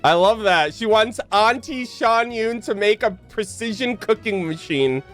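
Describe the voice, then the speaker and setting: A man's voice: high voice, lively intonation, measured pace, fairly bright tone. A man giving casual livestream commentary on a game in an enthusiastic, gushing way. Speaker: game streamer. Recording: game music behind.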